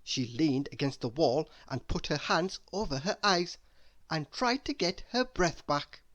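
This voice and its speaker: A storybook narrator reads for young children with a bright, forward voice. The delivery is unhurried and expressive, with pitch that moves around in a lively way. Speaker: storybook reader. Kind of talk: children's read-aloud narration.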